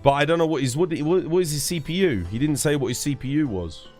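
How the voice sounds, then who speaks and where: A man's voice: fairly high pitch, fast, a little mellow, faint music behind. A man, stuffed up with a cold, casually chatting and answering viewers while streaming a game. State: congested, stuffed-up nose. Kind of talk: casual live-stream chat.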